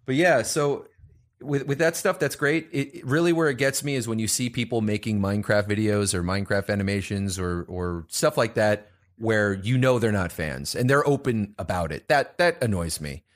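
A man's voice: on the low side, moderate pace. Rambling man in casual podcast chat. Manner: rambling, frequent restarts and fillers. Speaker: podcast co-host.

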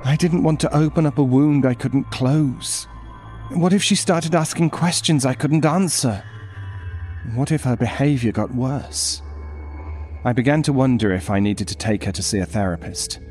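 An actor playing an anxious, troubled father who narrates a horror story in an intense, brooding way with dramatic pauses. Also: mid-range voice, a little mellow, lightly acted. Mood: anxious, agitated. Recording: music behind the voice.

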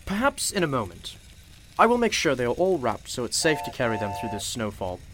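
A man playing a kindly, wise and slightly mysterious shopkeeper in a radio play, speaking in a formal, measured, reassuring way. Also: medium pitch, wildly swooping pitch, a bit bright, slight background noise. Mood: solemn, generous.

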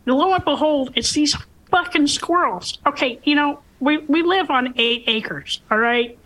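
A woman's voice: high-pitched, measured pace, a bit bright, rough recording. A woman co-host chatting casually, blunt and swearing freely. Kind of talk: casual chat.

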